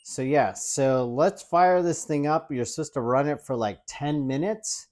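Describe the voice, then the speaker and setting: A man's voice: on the high side, unhurried, darkish tone. A man in his forties, a tech reviewer, chatting casually through a product demo in his home kitchen on a lapel mic, with ums and restarts as he handles the device. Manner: chatty, explains while handling gadgets, frequent ums and restarts. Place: home kitchen by an open window.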